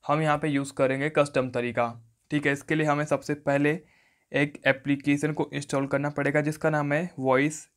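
A man giving an instructional phone tutorial voice-over, often repeating stock phrases. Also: on the high side. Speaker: tech tutorial host.

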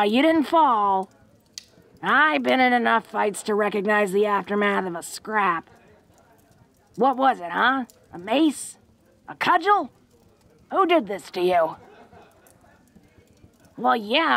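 Woman acting a slow-talking, gravelly, awkward tough orc girl. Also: medium pitch, fairly bright tone, heavily acted. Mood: worried, protective. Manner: folksy, halting tough-girl talk with small chuckles. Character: tough, confident, secretly awkward, affectionate young orc woman. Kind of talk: intimate roleplay audio drama.

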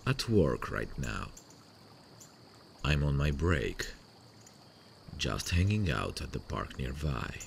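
A man playing a sweet, devoted long-distance boyfriend in an intimate ASMR roleplay, speaking tenderly and soothingly with hesitant pauses. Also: rumbling low, vocal fry, slight background noise, heavily acted. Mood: tender, reassuring. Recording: faint running-water nature sounds behind. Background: stream nature sounds.

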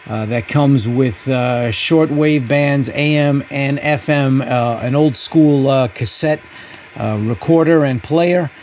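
Male host, slow halting delivery, casual review, mid pitch, slight background noise. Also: a little mellow. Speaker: video channel host. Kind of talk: casual video outro, radio review.

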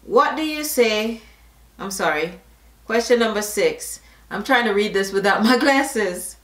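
A middle-aged woman from Jamaica with a Jamaican accent, reading a word list and questions aloud in a casual vlog, slow and deliberate, slipping into patois asides. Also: medium pitch, animated, fairly bright tone, roomy sound. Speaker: vlogger. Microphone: camera facing her at close range.

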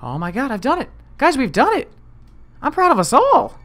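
An excitable man plays a video game and talks through it casually. His bright voice swoops wildly up and down, and he pokes fun at himself as he goes, with music behind him. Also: very high voice, moderate pace. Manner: excitable, self-deprecating. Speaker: video game let's player. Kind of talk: casual gameplay commentary.

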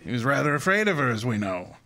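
An actor playing a shrewd, commanding private detective with formal, precise diction in an old-time radio drama. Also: male voice, mid-range voice, big pitch swings, quick pace, bright, forceful tone, a little hiss or hum. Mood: assured, explanatory. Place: live stage before an audience.

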